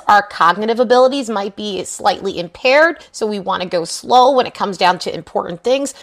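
This is a woman with a somewhat high voice, worn hoarse and crackly by a recent cold. She runs through a weekly astrology forecast in a loose, chatty, reassuring way, piling up repeated phrases as she goes. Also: fairly bright tone. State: hoarse, scratchy voice recovering from a cold. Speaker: astrology podcast host.

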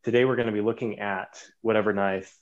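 A man talking as a podcast guest, telling stories in an animated way with halting restarts. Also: lowish voice, muffled recording. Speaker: podcast guest, knife designer. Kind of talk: casual chat.

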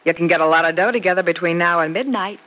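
An actress playing a hard-boiled, scheming moneylender in an old radio soap, curt, tough and slangy. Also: female voice, fairly low pitch, fast talker, a bit bright, natural voice. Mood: hard, threatening. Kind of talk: 1940s radio soap opera acting. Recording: faintly noisy vintage radio drama recording.

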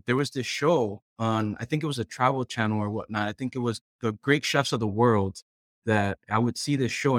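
A man's voice: mid-range voice, a bit bright, no room sound, clean studio sound. A man from Puerto Rico, a chef, casually telling stories as an interview guest and often voicing quoted remarks as he goes. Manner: conversational, quotes himself and others while recounting. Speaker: guest, chef and culinary director.

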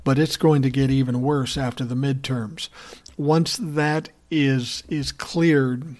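A man's voice: mid-range voice, fairly even intonation, slow pace, darkish tone. Man, podcast host, political commentary, blunt, swears, casual fillers, mid-range voice. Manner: blunt, profane, conversational with fillers. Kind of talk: opinionated commentary reading listener messages.

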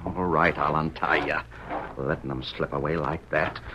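An actor playing a blustering, scornful Old West sheriff with an American Western accent and a gruff, scolding manner, in an old-time radio western. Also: male voice, a bass voice, steady tone, moderate pace. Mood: exasperated, scolding. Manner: gruff, scolding, folksy frontier insults. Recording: noisy, dull old radio recording.

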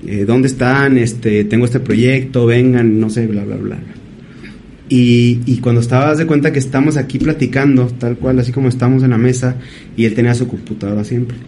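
A man, a painter as guest, telling stories in a thoughtful, halting way. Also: medium pitch, fairly even intonation, mellow, a little hiss or hum. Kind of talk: casual interview storytelling.

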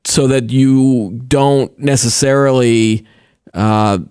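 A man hosting a podcast interview, asking questions and giving short acknowledgments in a relaxed way. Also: medium pitch, clean tone, no room sound, clean studio sound. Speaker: host.

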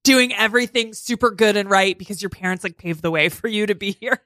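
Bright-voiced woman in her thirties. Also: mid-range voice, fairly quick, no echo. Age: 30s. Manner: chatty, candid, peppered with "like". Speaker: podcast guest. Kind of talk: casual chat. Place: studio. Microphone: studio mic.

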